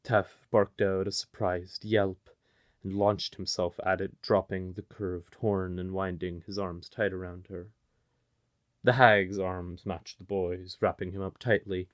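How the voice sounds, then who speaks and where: Deep, slow-paced male storyteller. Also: level tone. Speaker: narrator. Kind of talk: scripted fantasy story narration.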